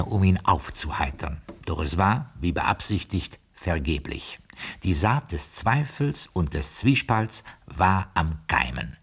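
A stiffly polite, long-winded castle butler in a radio comedy drama. His husky voice moves through a very lively range of pitch as he mutters, digresses and explains with formal courtesy. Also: full character voice. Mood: genteel, storytelling. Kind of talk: radio comedy crime drama, acting and narrating.

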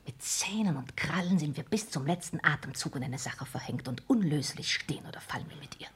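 Scheming countess for a 1960s radio drama: low, very husky and breathy, formal, driven, intense. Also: female voice, animated, fairly quick, whispered, full character voice. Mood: bitter, intense. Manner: formal, clipped, intense stage diction. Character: scheming, hard, ambitious countess plotting against her dying father. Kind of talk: 1960s German radio play acting.

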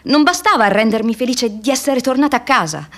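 A woman's voice: a little high, lively intonation, fairly quick, a bit bright. An actress playing an earnest, reflective young woman activist in an intimate, confessional radio theatre monologue. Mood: wistful, emotional.

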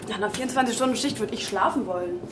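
A woman's voice: mid-range voice, racing, music in the background, natural voice. An actress in a radio drama playing a self-assured woman in her twenties who talks in a terse, understated way. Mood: cool, teasing. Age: 20s. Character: cool, self-assured young woman, bartender and dealer. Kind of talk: naturalistic German radio drama.

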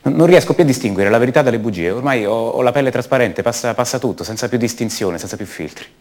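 An actor playing the brooding adult son of a disappeared woman, speaking haltingly and intimately in a radio theatre monologue. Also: mid-range voice, animated, darkish tone, natural voice. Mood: troubled, anguished. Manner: halting, repeats words, intimate.

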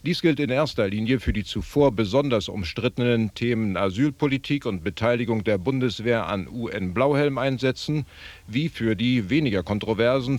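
A man's voice: mid-range voice, no room sound. A man reading a scripted political news report as a radio correspondent.

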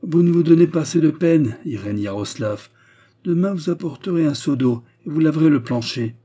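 A man's voice: mid-range voice, moderate pace, warm tone, slightly rough, close to an everyday voice. A man voicing a blind, brutal commissar in a story, vengeful, domineering and exclamatory, with sneers and rage. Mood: bullying.

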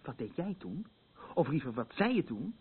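An actor in a radio play, playing a concerned, exasperated confidant who questions pointedly and protectively, repeating himself. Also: male voice, on the high side, big pitch swings, moderate pace, husky, exaggerated voice. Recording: muffled, phone-like narrow band.

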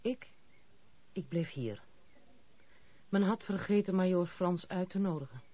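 A woman's voice: fairly low pitch, unhurried. Young woman in old radio play, blunt n wry, a bit disillusioned, tinny phone-like audio. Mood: disillusioned, confiding. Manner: direct, wry. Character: frank, disillusioned young granddaughter of a general. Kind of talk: radio drama acting. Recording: tinny, band-limited old radio recording.

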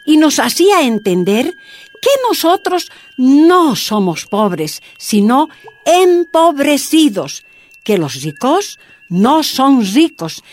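Slow, earnest mining woman. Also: fairly high pitch, darkish tone, exaggerated voice. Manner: deliberate, emphatic. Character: earnest miners' woman recalling her leader's lessons. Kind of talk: radio drama narration.

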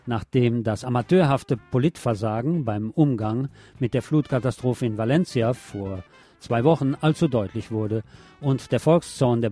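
A man's voice: medium pitch, dark tone. A man presenting scripted radio news with a wry, colloquial touch. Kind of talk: scripted news magazine narration.

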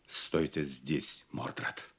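An actor playing a stealthy, cold, plotting knight with a husky, hushed voice in a theatrical radio play. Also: male voice, rumbling low, steady tone, slow pace, exaggerated voice. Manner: hushed, conspiratorial.

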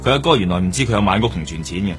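An actor with a Hong Kong accent playing a diligent police detective reporting briskly and factually in a radio drama. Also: male voice, low-pitched, expressive, music in the background. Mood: businesslike. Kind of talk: Cantonese radio drama acting.

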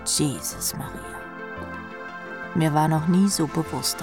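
A woman's voice: sits low, vocal fry, heavily acted. A woman playing a sardonic rock drummer who tells her misadventures in a dry, self-mocking, slangy way as radio drama narration. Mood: self-mocking bravado. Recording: music under the voice.

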